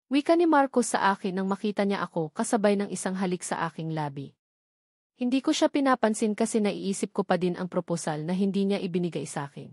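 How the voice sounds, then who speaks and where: A woman's voice: mid-range voice, a little mellow, no room sound. Woman narrating a romance story, dry sound. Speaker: audiobook narrator. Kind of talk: scripted first-person romance novel narration.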